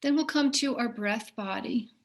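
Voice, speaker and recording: A woman leading a guided meditation as a teacher, giving gentle, unhurried instructions with pauses between phrases. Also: fairly high pitch.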